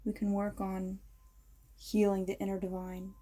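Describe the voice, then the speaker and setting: A woman leading a guided meditation in an ASMR style, speaking in a ceremonial, measured and soothing manner. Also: mid-range voice, completely flat delivery, mellow.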